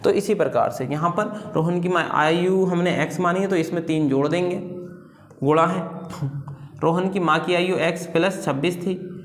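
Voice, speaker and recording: A man with a fairly high voice gives an informal lesson in a very echoey room. He explains one step at a time, repeating himself and asking questions before answering them. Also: moderate pace. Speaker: maths teacher.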